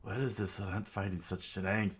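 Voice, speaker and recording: An arrogant pirate villain, as a male storyteller plays him, with a low voice. He sounds haughty and contemptuous, a scornful figure from an adventure tale. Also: flat delivery, a little slow, slightly rough. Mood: disbelieving.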